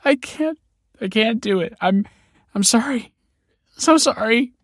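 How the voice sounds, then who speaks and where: A man voicing an indignant, distraught mother with a shrill, tearful delivery in an audiobook. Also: lively intonation, a little slow, no echo, studio quality, exaggerated voice. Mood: sobbing, apologetic. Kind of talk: audiobook character acting.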